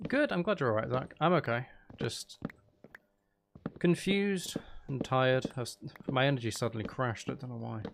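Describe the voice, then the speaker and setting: A man's voice: mid-range voice, moderate pace, gravelly, slight background noise. A man chatting casually to viewers as he plays a building game, often murmuring half to himself and trailing off, with a self-deprecating manner. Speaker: live streamer playing a building game. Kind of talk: casual chat with viewers while gaming.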